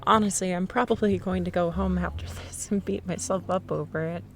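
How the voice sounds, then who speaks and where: A young woman in a scripted ASMR roleplay, playing a shy, nervous stranger who rambles and laughs nervously in a soft voice. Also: on the low side, steady tone, a little fast, faint music behind.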